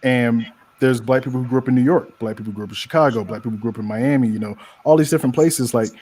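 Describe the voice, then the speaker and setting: A man's voice: medium pitch, fast, darkish tone. Conversational man from North Carolina. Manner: conversational, repeats words while thinking. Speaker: comics creator on a panel. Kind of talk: casual panel discussion.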